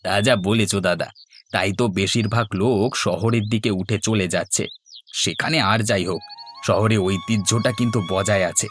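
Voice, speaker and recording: A man's voice: on the low side, normal speed, faint music behind, lightly acted. A man voicing an earnest, modest and respectful village man in a cartoon. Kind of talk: Bengali cartoon dubbing.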